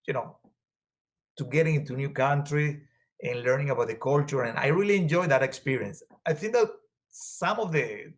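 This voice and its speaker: A man from Venezuela whose first language is Spanish, speaking in a Venezuelan accent in an earnest, halting way with many fillers as an interview guest. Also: on the high side. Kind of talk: conversational interview.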